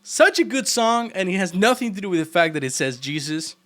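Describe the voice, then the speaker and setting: A man's voice: sits high, sing-song, fairly quick, bright, forceful tone. Playful Argentine man chatting on stream. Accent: Argentine Spanish. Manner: playful and teasing, laughs often. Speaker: programming livestreamer. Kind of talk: casual chat with live chat.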